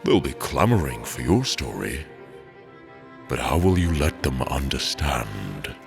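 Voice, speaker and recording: Omniscient otherworldly sage, rock-bottom rumbling creaky voice, grave philosophical manner, vast echo, audio drama. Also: male voice, very animated, full character voice. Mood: solemn, encouraging. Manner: solemn, measured, philosophical. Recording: cavernous long reverb, faint music behind.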